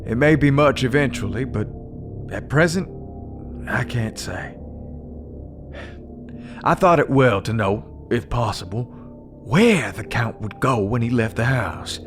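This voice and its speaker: An actor playing a laconic, steely vampire hunter, deliberate and grim, in an audio drama. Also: male voice, mid-range voice, over music, close to an everyday voice. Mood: grim, resolute.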